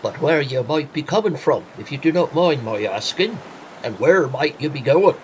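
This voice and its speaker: An actor playing a talkative, superstitious coastal villager with rustic, deferential phrasing in an audio drama. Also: male voice, on the high side, expressive, normal speed, a little mellow, slight background noise, lightly acted, low-quality recording. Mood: polite, curious.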